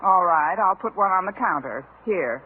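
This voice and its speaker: An actress playing a wry, sarcastic high school English teacher with deadpan wisecracks in a 1950s radio comedy. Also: female voice, moderate pace, natural voice. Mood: dry, brisk. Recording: narrow, noisy 1950s radio recording.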